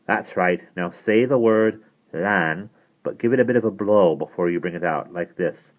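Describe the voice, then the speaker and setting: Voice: deep voice, normal speed, lightly acted. A man voicing a proud, teasing teenage boy with an emphatic, clipped manner in an audiobook. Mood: brisk, teasing. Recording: muffled, phone-like narrow band.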